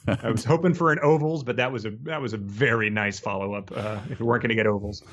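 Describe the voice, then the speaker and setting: A man co-hosting a casual, humorous book discussion, talking in a halting, rambling and amused way. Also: lowish voice, a bit bright. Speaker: podcast co-host. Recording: dull lo-fi audio.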